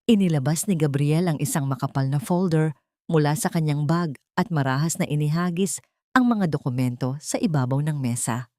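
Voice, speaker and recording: A woman's voice: low voice, a little mellow, dry recording, studio quality. A woman reading a scripted dramatic audio story as a storyteller, in a solemn and emotive way.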